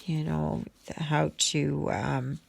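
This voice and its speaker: Low, slow-talking woman with creaky voice. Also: level tone. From Canada. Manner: unhurried, halting, thinking aloud. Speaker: craft vlogger. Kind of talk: casual rambling chat while stitching.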